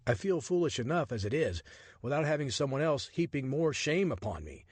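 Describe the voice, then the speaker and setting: A man's voice: a little high, very animated, fairly quick, slightly rough, no room sound, voice pushed up. A man reading a story voices a flustered, sarcastic American adventurer who is defensive and exasperated.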